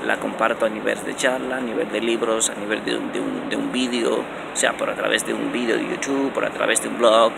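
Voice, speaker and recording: Man in his 40s, self-help vlogger, gravelly mid-range voice, emphatic and repetitive, phone mic, station hum behind. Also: a little fast, hardly any pauses. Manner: animated and repetitive, many restarts, stressing words with rising pitch. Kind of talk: casual motivational vlog. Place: indoor underground transit station, concrete walls. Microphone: phone camera held close at arm's length. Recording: noisy phone recording in a station.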